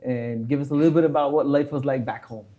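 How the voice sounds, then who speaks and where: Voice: medium pitch, steady tone, quick pace, phone-quality sound. A talk show host asking questions casually, often stumbling and restarting mid-sentence. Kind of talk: casual interview hosting.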